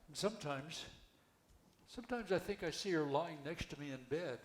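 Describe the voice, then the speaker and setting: An older man reading the part of a wry, skeptical widowed retirement-home resident in an amateur play, halting and repeating words. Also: fairly high pitch, expressive, lightly acted. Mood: somber. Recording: echoey room, background noise.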